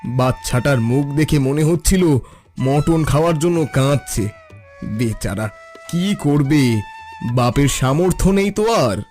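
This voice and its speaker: Male actor as gloating rich neighbour for a cartoon: unhurried drawl, condescending, slightly high male voice, music underneath. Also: animated, darkish tone. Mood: mocking. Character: smug, idle, taunting rich male neighbour. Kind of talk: cartoon folk tale dialogue.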